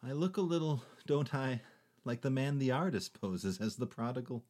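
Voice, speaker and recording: A performer playing a hungry, ragged young man who speaks low and falteringly from exhaustion. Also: lively intonation, moderate pace, a little mellow, close to an everyday voice. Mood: weary.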